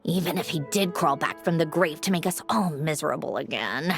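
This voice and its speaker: An actress playing a proud, sharp-tongued mechanical genius in a video game, haughty and theatrical, sardonic but secretly caring. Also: female voice, sits low, fairly quick, creaky, soft background music. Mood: haughty, brushing off.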